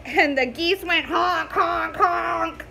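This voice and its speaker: Woman doing loud, high farm animal calls. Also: takes it slowly, vocal fry, exaggerated voice. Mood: noisy, emphatic. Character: noisy farm animals: hen, pig, duck, sheep, dog. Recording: faintly noisy home recording.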